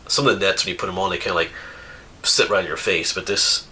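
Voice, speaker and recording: A man talks in a loose, rambling way, sniffly from a coming cold. His voice sits very low yet sounds sharp and forceful. A faint noise runs under the camera recording. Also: fast talker. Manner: rambling and chatty, laughs often, restarts. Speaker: outdoors YouTuber reading viewer mail. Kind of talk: casual chat. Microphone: camera mic.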